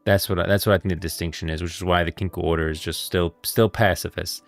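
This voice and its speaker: A male streamer with a low, creaky voice talks casually through game lore. He thinks aloud in halting, repeated phrases, with soft music playing behind him. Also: steady tone, no room sound.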